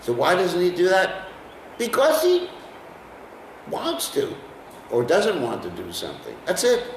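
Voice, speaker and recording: A man's voice: sits high, wildly swooping pitch, a little slow, gravelly, roomy sound, far from the mic, slight background noise. An American man teaching a scripture class in a conversational way, often putting questions to his listeners. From United States. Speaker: lecturer on scripture.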